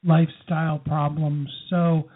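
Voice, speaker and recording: The high, husky-voiced man who hosts a small online talk show, chatting casually with guests over what sounds like a phone line. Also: level tone, unhurried. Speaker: interview show host. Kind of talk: casual interview chat.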